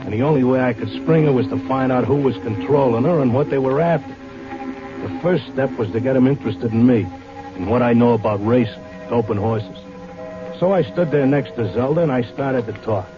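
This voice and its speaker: A man's voice: mid-range voice, fairly quick, lightly acted. An actor playing a stubborn, devoted horse-race handicapper in a vintage radio drama, talking in slangy wise-guy style. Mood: eager explaining. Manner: slangy, streetwise Broadway wise-guy talk. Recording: dull old radio recording with music.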